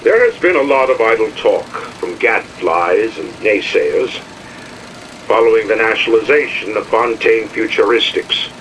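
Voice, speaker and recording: An actor playing a pompous industrialist ruler, delivering theatrical, oratorical propaganda with emphatic grandeur. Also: male voice, lowish voice, lively intonation, moderate pace, a bit bright, a little hiss or hum, rough recording. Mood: stern, indignant. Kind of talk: propaganda address, theatrical game voice acting.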